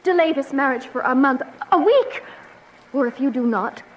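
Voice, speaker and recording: Woman playing young noblewoman, very high voice, impassioned, desperate, formal verse, tragic radio drama. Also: expressive, normal speed, poor audio. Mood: pleading, distraught. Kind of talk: theatrical Shakespearean radio drama acting.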